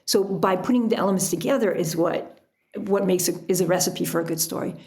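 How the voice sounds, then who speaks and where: A woman talking casually as an interview guest, telling things in an animated storytelling way with illustrative asides. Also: fairly low pitch, fairly quick. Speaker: podcast guest, author and sailor.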